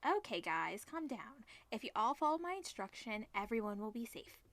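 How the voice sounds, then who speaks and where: Woman doing a strict grave teacher from a visual novel, bright voice, dry close sound. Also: a little high, very animated, moderate pace, no room sound. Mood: stern, instructing. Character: stern homeroom teacher giving grave survival instructions.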